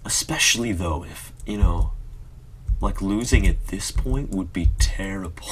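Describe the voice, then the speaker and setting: A man's voice: sits low, unhurried, a little hiss or hum. A man chatting casually and rambling in a self-deprecating way while playing a computer game on a live stream.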